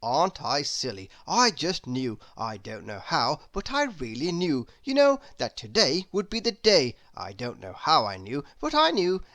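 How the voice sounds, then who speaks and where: Silly, babbling storybook gentleman, woman performing; excitable, rambling, hugely animated pitch. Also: unhurried, hardly any pauses, no room sound, studio quality, full character voice. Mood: gleeful, excited. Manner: rambling, repetitive chatter piling clause upon clause. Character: excitable, silly, endlessly babbling cartoon man. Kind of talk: children's storybook character voice.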